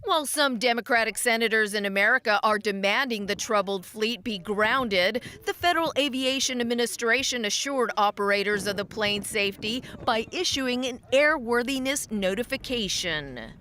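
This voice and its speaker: A woman's voice: fairly high pitch, animated, normal speed, few pauses, bright, forceful tone. A woman reading scripted broadcast news as an anchor.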